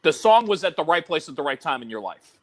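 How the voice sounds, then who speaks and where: A man with a notably high voice co-hosts a laid-back podcast. He tells stories with energy and throws in quick jokes between his points. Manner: animated storyteller, quick to joke. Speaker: podcast co-host, DJ. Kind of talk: casual chat.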